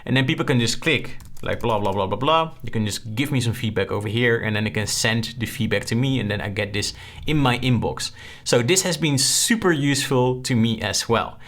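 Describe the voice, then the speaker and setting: A man's voice: mid-range voice, animated, a little fast, fairly bright tone. A young man with a Dutch accent, chatting casually and upbeat through a screen-share tutorial, often tagging "right?" onto his points. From Netherlands. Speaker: online course creator.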